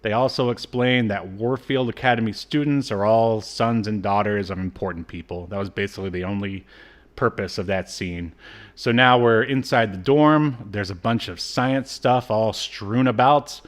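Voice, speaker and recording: A man's voice: fairly low pitch, normal speed. A man in his thirties or forties co-hosting a podcast, casually recapping a show with wry, mocking asides. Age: 30s to 40s. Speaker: podcast co-host. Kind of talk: casual chat recapping a cartoon.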